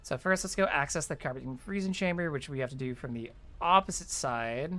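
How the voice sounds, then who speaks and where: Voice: mid-range voice, fairly quick, fairly bright tone. A chatty, informal streamer thinking aloud and explaining each step while playing through an online game. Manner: chatty, informal, mild swearing. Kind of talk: casual game walkthrough commentary.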